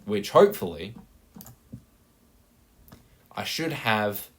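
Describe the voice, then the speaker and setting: A man's voice: fairly low pitch, big pitch swings, slow pace. A young Australian man rambling casually over his gameplay, with many fillers and self-mocking asides. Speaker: gaming channel host.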